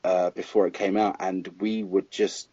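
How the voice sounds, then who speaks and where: A man's voice: low voice, flat delivery, moderate pace, dark tone, slightly rough, dry recording. A man speaking as a film critic guest on a casual podcast, giving emphatic, opinionated verdicts. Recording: dull lo-fi podcast audio.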